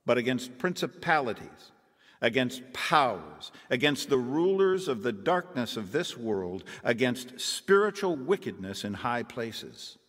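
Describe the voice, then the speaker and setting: A man's voice: medium pitch, a bit bright, in a large hall. A man narrating his testimony in an earnest, preaching manner, quoting scripture.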